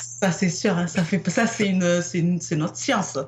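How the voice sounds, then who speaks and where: A woman's voice: lowish voice, unhurried, noisy background. A young woman from France, guest on an interview podcast, answering candidly with many fillers. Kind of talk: casual interview.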